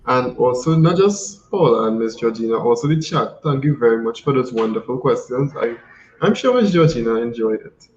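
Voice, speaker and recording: A man's voice: mid-range voice, animated, moderate pace. Formal young male host, roomy dull sound. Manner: formal, polite moderator. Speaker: youth host. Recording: dull roomy mic with faint hiss.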